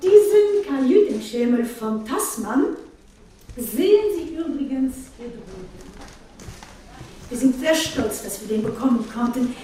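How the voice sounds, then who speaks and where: A woman's voice: a little high, lively intonation, unhurried, warm tone. A woman playing a chatty, proud museum guide giving an informal tour in a radio play. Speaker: exhibition guide. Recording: very echoey room, distant, faint noise.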